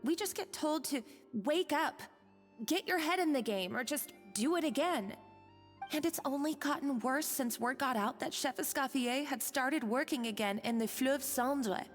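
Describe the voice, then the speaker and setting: Woman playing an earnest, high-voiced young cook. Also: moderate pace, lightly acted. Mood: discouraged. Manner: earnest, hesitant. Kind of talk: anime game voice acting. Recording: long reverb, music behind.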